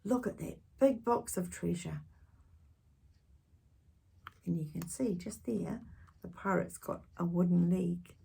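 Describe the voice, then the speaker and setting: A woman's voice: on the low side, takes it slowly, creaky, long pauses, husky. A woman hosting a children's storytime, reading a picture book expressively with wondering asides to young listeners. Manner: animated, gasping and hushed wondering asides.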